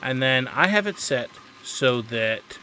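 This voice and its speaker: A man's voice: medium pitch, lively intonation, slow, pushed and bright, slight background noise, rough recording. A man hosting a gaming video, giving instructional tutorial commentary and stressing his key advice.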